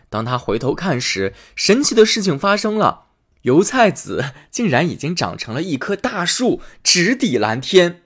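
A man hosting and reading a fairy tale aloud in an expressive storytelling manner. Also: mid-range voice. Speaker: storyteller host.

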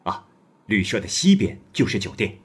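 Proud, poor young male scholar in an old tale, voiced by a man storyteller, low and husky. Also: very animated, normal speed, full character voice. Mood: earnest.

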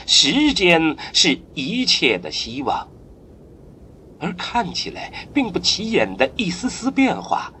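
Slow, expressive male drama narrator. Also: mid-range voice, a little hiss or hum. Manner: solemn storytelling, dramatic pauses. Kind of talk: dramatic scripted science-fiction narration.

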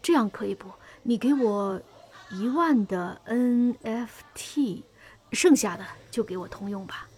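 Husky older mother. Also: on the high side, unhurried, a little mellow. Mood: hesitant bargaining. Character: older mother haggling over a data sale, plain, cautious. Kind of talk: Chinese audio drama dialogue.

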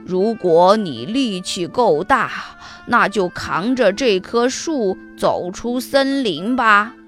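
Lady doing a big dim giant guy for a kids story, really slow n scornful, music behind. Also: medium pitch, lively intonation, a bit bright. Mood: testing. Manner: slow, drawn-out, challenging delivery. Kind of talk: children's fairy tale storytelling.

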